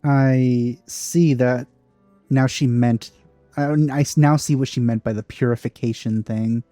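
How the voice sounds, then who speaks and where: A man giving casual gameplay commentary, low-key and halting as he thinks aloud. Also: fairly even intonation, dark tone, no echo, faint music behind. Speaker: let's play commentator.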